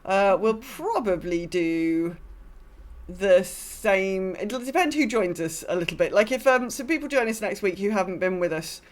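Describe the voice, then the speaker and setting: A woman's voice: medium pitch, expressive, moderate pace. A woman co-hosting a podcast in casual chat about gaming, informal and rambling, with a self-deprecating streak. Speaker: podcast co-host.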